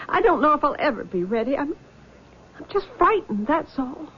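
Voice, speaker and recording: A reluctant young sweetheart in a radio play, hesitant and apologetic. Her voice is fairly high with wide swings of pitch. The old recording is somewhat dull. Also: very animated, moderate pace. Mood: frightened, apologetic. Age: young adult. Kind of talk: 1970s radio drama acting.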